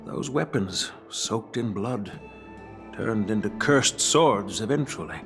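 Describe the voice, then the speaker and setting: An old master swordsmith. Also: male voice, fairly low pitch, expressive, fairly bright tone, soft background music, exaggerated voice. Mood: grave. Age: older. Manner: measured, grave storytelling. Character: wise elderly male master swordsmith, grandfather. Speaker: game character. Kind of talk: video game cutscene voice acting.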